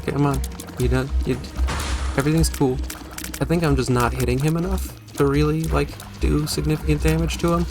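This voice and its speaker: A man's voice: fairly high pitch, level tone, brisk, music in the background. A man hosting a gaming video with casual, joking, self-deprecating commentary and some mild swearing.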